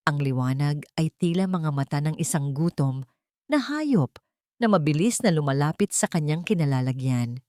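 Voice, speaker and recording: A woman with a slightly low voice narrates a dramatic audiobook. Her delivery is solemn and literary, broken into short, deliberate phrases, and the recording is dry and close. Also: lively intonation, a little mellow, dry recording. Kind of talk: dramatic scripted story narration.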